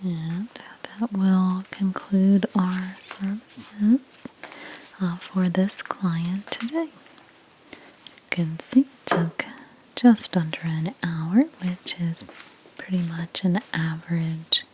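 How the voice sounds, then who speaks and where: Softly spoken asmr lady, breathy and super slow, explaining stuff gently, noticeable background noise. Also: on the low side, steady tone, low-quality recording. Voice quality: soft, breathy, whispery. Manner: gentle, unhurried explaining with pauses. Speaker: ASMR creator playing a house cleaner.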